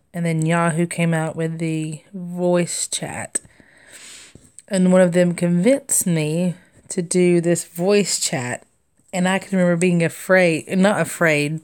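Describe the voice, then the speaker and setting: Chatty Southern woman, slightly low voice. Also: a little mellow, clean tone. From Southern United States. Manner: chatty and rambling, laughs often, reads out viewer comments. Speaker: cross-stitch livestream host. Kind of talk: casual live-stream chat.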